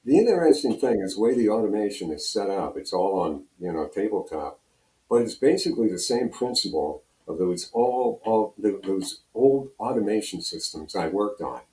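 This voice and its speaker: A man's voice: lowish voice, lively intonation, normal speed, dark tone. An older American man reminiscing in a casual, rambling way, telling anecdotes as an interview guest. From United States, Pennsylvania. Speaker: radio program director and on-air personality, interview guest. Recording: roomy, echoing room sound.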